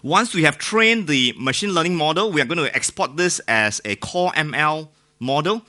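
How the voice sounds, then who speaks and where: A man's voice: fairly high pitch, animated, moderate pace, pushed and bright. A man with a Singaporean accent giving an informal, joking technical talk to a live audience, often putting questions to them. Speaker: conference speaker.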